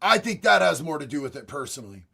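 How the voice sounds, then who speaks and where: A man hosting a casual livestream and answering viewer chat in a blunt, profane, slangy way. He has a raspy voice and calls his viewers bro and homie. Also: on the high side, very animated, fast, pushed and bright. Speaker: livestream host reading chat.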